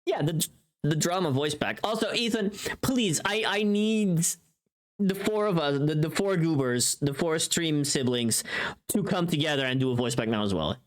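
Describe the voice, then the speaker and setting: A woman with a low voice that swings widely in pitch. She chats casually as a livestream host, playfully teasing her viewers and swearing freely, with expressive bursts of mock outrage. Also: moderate pace.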